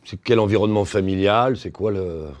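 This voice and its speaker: A man's voice: lowish voice, brisk, dull audio, very noisy. A man hosting an interview, asking casual, probing follow-up questions. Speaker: interviewer and host. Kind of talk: conversational interview.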